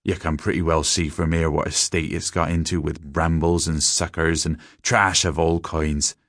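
A man's voice: a bass voice, level tone, normal speed, fairly bright tone, no echo, studio quality, heavily acted. A man voicing an old country shepherd with a rural English accent, grave and plain-spoken, giving folksy, emphatic warnings in a storybook reading.

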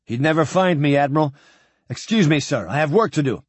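Male reader as a stubborn starship captain: firm, urgent, defiant, a touch higher; dry. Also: expressive, fairly quick, husky, dry recording.

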